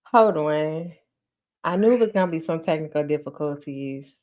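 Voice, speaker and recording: A woman's voice: sits low, normal speed, phone-quality sound. A woman, chatting casually and animatedly as a podcast host on a livestream. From North Carolina, US. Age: 30s. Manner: chatty, animated, addresses listeners as y'all.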